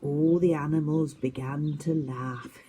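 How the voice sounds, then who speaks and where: A woman's voice: low-pitched, fairly even intonation, unhurried, mellow, husky. A woman narrating a children's story expressively, as a teacher does at story time.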